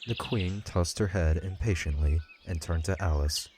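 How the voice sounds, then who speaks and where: A man's voice: low voice, moderate pace, background noise. A man narrating a scripted audiobook story.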